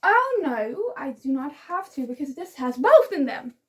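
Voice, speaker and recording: A young woman whose first language is Dutch, with a notably high voice. She talks casually and enthusiastically, rambling and giggling as she recommends books in a relaxed vlog. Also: normal speed. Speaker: book vlogger. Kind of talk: casual book review vlog.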